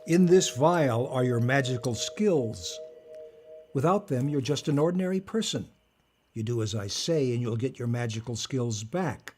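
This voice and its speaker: A man acting in an audio drama as an old magician and collector, formal, smug and lecturing, with a measured delivery. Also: mid-range voice, lively intonation, a little mellow, faint music behind, close to an everyday voice. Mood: composed, smug. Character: old male magician and artifact collector, commanding, smugly moralizing.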